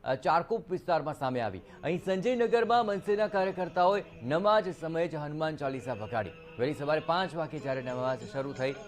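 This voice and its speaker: A man's voice: fairly high pitch, expressive, fairly quick, hardly any pauses. A man anchoring a news broadcast, reading and ad-libbing the reports. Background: background music.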